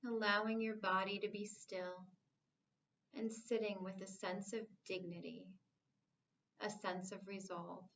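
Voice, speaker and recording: A woman guides a meditation at a very slow, unhurried pace. Her delivery is calm and soothing, with long pauses between phrases. The recording is a little muffled, with a faint layer of background noise. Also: medium pitch.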